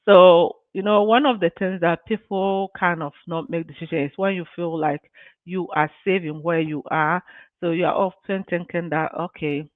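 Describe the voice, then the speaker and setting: A woman's voice: fairly low pitch, steady tone, normal speed, no room sound. Earnest woman on a tinny line. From Ghana. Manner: earnest, encouraging, leans on "you know". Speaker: business mentor. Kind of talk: motivational live-stream talk. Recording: dry tinny phone-like line.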